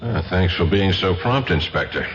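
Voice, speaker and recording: Defiant American investigator, American accent, lowish and animated, blunt wit, dull noisy vintage radio drama recording. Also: male voice, moderate pace. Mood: brash, insistent. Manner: wry, blunt, colloquial. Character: brash, dogged American male investigator, wry and defiant. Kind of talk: old-time radio drama acting.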